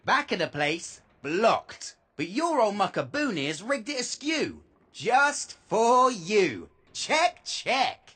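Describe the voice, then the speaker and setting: An actress voicing a cheeky, streetwise young thief in a video game, with a British accent, a husky voice and slangy, drawling, clipped phrases. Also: female voice, medium pitch, big pitch swings, slow pace, fairly bright tone, exaggerated voice. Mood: cheeky, cocky. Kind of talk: video game character acting.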